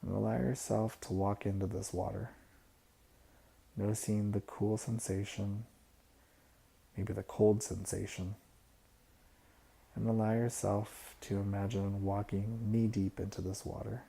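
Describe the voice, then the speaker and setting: Male meditation guide: low, very dark and mellow, gravelly fry, soft and unhurried. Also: level tone. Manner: soft-spoken, unhurried instruction with pauses.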